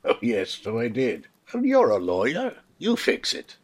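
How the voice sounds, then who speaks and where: A man playing a gentle, jolly elderly Santa Claus with a husky voice in a radio play. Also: mid-range voice, huge pitch swings, unhurried, exaggerated voice. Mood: amiable.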